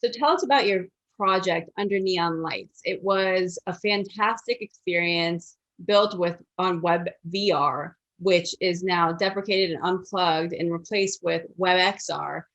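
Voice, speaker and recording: A woman's voice: fairly low pitch, a little slow, hardly any pauses, a bit bright, dull audio. A woman interviewing a guest, asking polite, upbeat questions. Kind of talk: red carpet interview.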